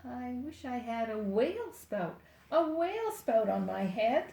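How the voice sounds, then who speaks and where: Slow-paced older woman reading a storybook. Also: a little high, sing-song, vocal fry, dark tone, roomy sound. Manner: deliberate, playful, addresses young listeners directly. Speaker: storyteller reading a children's book aloud. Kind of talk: expressive picture-book read-aloud with asides.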